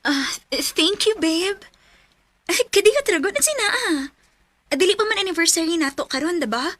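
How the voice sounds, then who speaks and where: An actress playing a sweet, excitable young girlfriend in a radio drama. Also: extremely high pitch, normal speed, no room sound. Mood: sweet surprise.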